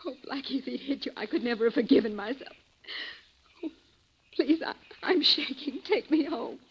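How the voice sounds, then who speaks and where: A woman's voice: high-pitched, lively intonation, moderate pace, slightly rough, exaggerated voice. An actress playing a frightened, pleading young woman in an old-time radio crime drama. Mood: shaken, pleading. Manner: pleading, breathless, emotional. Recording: muffled old radio recording.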